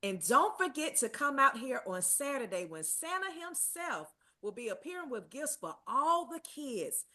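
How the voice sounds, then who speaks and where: A woman actor playing a cheerful, playful aunt who hosts a town contest, speaking in a deliberate announcer-like way at a table read. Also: high voice, big pitch swings, sharp tone, full character voice. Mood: festive, playful.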